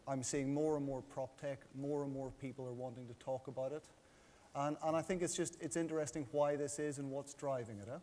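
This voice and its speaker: A man moderating a conference panel, brisk and stumbling on words. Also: medium pitch, fairly even intonation, mellow. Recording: reverberant hall, faint noise.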